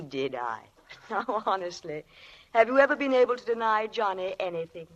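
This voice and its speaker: A woman's voice: medium pitch, animated, moderate pace, muffled recording, natural voice. An actress playing a worldly, self-assured lady crime novelist in a vintage radio drama, arch and teasing. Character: worldly, self-assured woman mystery writer.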